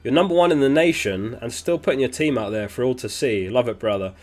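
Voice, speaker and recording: A man hosting a casual livestream, reading viewer messages aloud in a relaxed, chatty way. He is sniffly and keeps clearing his throat. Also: medium pitch, fast. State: sniffly, getting over an illness. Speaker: fantasy football livestream host.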